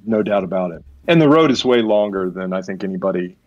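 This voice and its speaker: A man's voice: low-pitched, lively intonation, fast talker, darkish tone, dry recording, muffled recording. A man hosting a podcast interview in a casual, conversational style. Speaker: podcast host.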